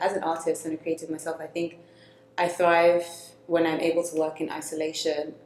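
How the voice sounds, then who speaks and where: Low, flat-voiced thoughtful woman. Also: a little hiss or hum. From Nigeria. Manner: slow, thoughtful, with pauses and fillers. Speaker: co-host. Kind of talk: reflective personal conversation. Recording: echoey room.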